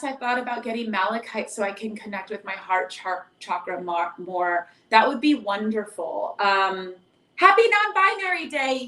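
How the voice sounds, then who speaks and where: A woman with a hoarse voice chatting casually and affectionately as she hosts a livestream as a tarot reader, reading out viewer comments. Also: a little high, sharp tone. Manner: effusive, affectionate greetings and endearments. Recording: echoey, roomy live stream.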